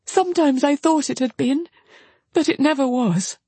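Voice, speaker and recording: A woman's voice: high voice, normal speed, dark tone, no room sound, voice pushed up, close to an everyday voice. A woman voicing a passionate, despairing young sister in an anguished, exclamatory way, as in a classic novel reading. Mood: distressed.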